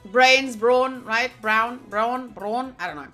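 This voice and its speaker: Female streamer, high bright voice, reading game lines aloud, casual chatty asides, faint music. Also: slow pace.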